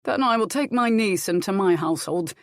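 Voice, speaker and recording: A woman's voice: mid-range voice, lively intonation, no echo, lightly acted. Woman voicing a commanding, kindly duke, very dry sound. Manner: measured, formal, authoritative. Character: commanding, protective royal duke, calm and kindly.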